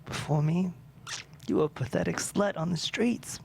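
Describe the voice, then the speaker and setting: An actor playing a menacing, mock-fatherly male crime boss with a deliberate, sneering delivery in a script table read. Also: a little high, lively intonation, vocal fry, a little mellow. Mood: cold contempt.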